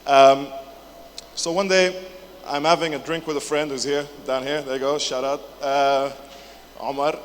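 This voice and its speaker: A man's voice: on the high side, level tone, measured pace. Young english-raised guy chatting at a conference, swears, lots of ums, hall echo and faint noise. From England. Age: 20s. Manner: chatty, jokey, swears, many fillers and restarts. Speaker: conference speaker. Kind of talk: casual conference talk. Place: large conference hall, indoors.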